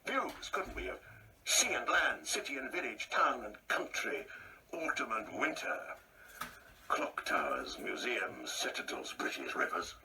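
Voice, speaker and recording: A man's voice: mid-range voice, wildly swooping pitch, measured pace, pushed and bright, recorded from a distance, a little hiss or hum, exaggerated voice, rough recording. An actor playing a proud, insecure older man in a radio play, speaking in a pompous, precise, theatrical way. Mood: rambling, defensive. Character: proud, insecure ageing man, bitterly reminiscing and taunting.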